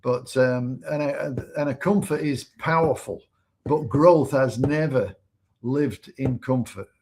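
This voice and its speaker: Male co-host, relaxed and conversational, mid-pitched everyday voice, casual livestream talk. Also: slow. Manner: laid-back, conversational, adds to her points.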